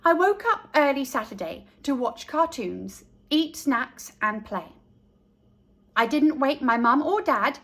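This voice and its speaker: A woman's voice: high-pitched, animated, slow pace, a bit bright, full character voice. A woman voicing a cheeky, self-assured three-year-old girl who narrates a rhyming storybook in an innocent sing-song manner. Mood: cheerful, smug.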